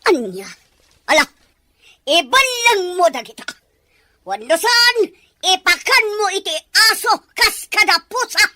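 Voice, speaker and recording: A woman's voice: very high-pitched, very animated, measured pace, pauses often, sharp tone, dry recording, heavily acted. A woman playing a haughty, scornful rich mother who scolds imperiously in a melodramatic audio drama. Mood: haughty, disdainful. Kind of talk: melodramatic radio-style drama acting.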